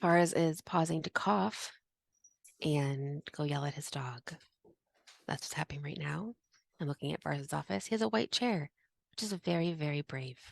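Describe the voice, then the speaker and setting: A woman's voice: low-pitched, normal speed, gravelly, no echo. A woman co-hosting a podcast in casual chat, sounding a little sniffly. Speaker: podcast co-host.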